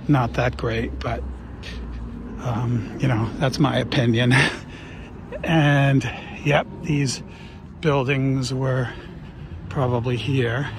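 A middle-aged man from Long Island, New York, reminiscing casually and slowly about his old neighborhood on a walking tour, recorded outdoors on a phone he carries. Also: medium pitch. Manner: slow, rambling reminiscing with fillers. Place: outdoors on a suburban street. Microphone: phone camera held while walking. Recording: outdoor traffic noise.

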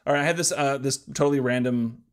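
A man's voice: mid-range voice, fast. A man co-hosting a podcast, chatting in an informal, conversational way. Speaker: podcast co-host. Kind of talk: casual podcast chat.

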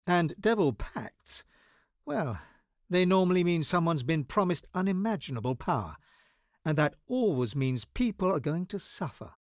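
A bookish fantasy game wizard, wry and guarded with an anxious edge. His delivery is articulate and very lively in pitch. The sound is dry and close. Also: moderate pace, dry recording. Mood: earnest, troubled. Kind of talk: video game voice lines.

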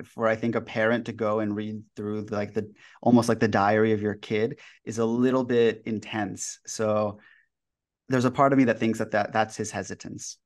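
A young man in his twenties from the United States, a guest author talking casually in an interview from a bright room at home over a video call, relaxed and full of fillers. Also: lowish voice. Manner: relaxed, loose, with many fillers and restarts.

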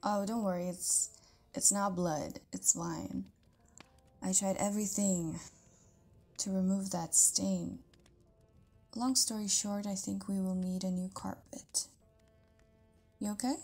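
A woman playing a caring, tender partner in an intimate audio roleplay, talking casually in a soft, hesitant way with breathy near-whispers. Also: medium pitch, steady tone, slow, long pauses, soft background music. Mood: concerned, reassuring. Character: caring, tender live-in partner of an amnesiac lover.

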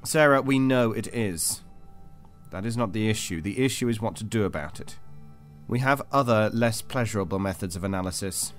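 A man's voice: on the low side, moderate pace, natural voice. Man acting a cold, clinical interrogator over music. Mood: stern. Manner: controlled, formal, detached, insistent. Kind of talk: audio drama acting. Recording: music under the voice.

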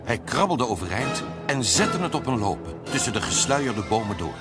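Very expressive male storybook narrator. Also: medium pitch, moderate pace, over loud music.

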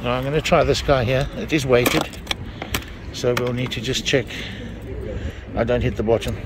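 A middle-aged man chatting excitedly to his viewers while fishing on an outdoor harbour pier, filmed on an action camera. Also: mid-range voice, normal speed. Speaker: fishing vlogger. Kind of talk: casual vlog narration. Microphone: handheld or body-worn action camera. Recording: loud wind and sea noise outdoors.

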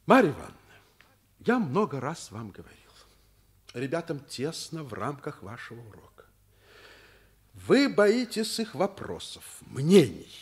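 An actor playing a thoughtful, humane school principal, earnest and reasoning, in Soviet radio theatre. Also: male voice, a little high, huge pitch swings, unhurried, long pauses, slightly rough, heavily acted. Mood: earnest, firm.

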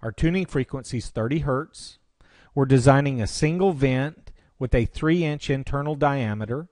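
A man narrates an instructional screencast in a medium-pitched voice. He explains things step by step, like a presenter walking a learner through software. The sound is dry, with very little room. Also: normal speed, dry recording.

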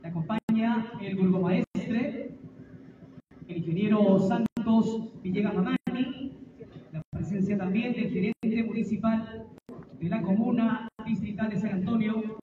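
Man emceeing outdoors, very high voice, distant dull PA sound, faint music. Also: steady tone, noisy background. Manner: slow, drawn-out formal delivery. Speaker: master of ceremonies. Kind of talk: formal ceremony announcing over loudspeakers. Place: outdoor ceremony grounds. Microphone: public address system heard from a distance.

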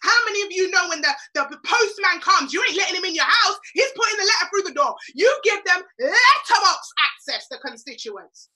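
High, bright-voiced Jamaican woman mentor. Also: sing-song, fairly quick, hardly any pauses. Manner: emphatic, preachy, repeats lines for effect. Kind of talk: motivational webinar talk. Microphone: webcam on a video call.

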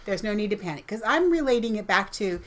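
A woman's voice: medium pitch, very animated, fast. A middle-aged woman co-hosting a live quiz show, chatty and giggly, thinking her answers through aloud at a desk. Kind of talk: casual quiz banter. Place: indoor room with bookshelves.

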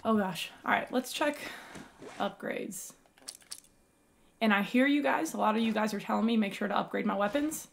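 Raspy young woman gaming. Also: medium pitch, normal speed, pauses often, slight background noise. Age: young adult. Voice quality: raspy from yelling. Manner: excitable, exclaiming at finds. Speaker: gaming YouTuber. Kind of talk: let's play commentary.

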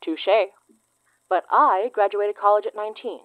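A woman in her twenties playing a wry, teasing, trauma-worn investigator in a naturalistic horror audio drama, with a deadpan manner. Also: medium pitch, unhurried, no room sound, narrow, tinny audio, natural voice. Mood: playful mockery. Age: 20s.

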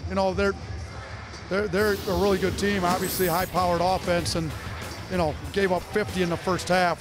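A man in his thirties, a head basketball coach, answering post-game questions courtside in a steady, filler-heavy way into a handheld mic. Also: high voice, low-quality recording. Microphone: reporter's handheld mic.

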